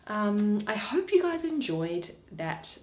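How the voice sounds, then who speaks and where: A woman host talks casually to her viewers. Her voice is expressive, with a wide range of pitch, and the room adds a noticeable echo. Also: slow pace, slight background noise. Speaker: host and reader of a Shakespeare series. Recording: roomy, echoing.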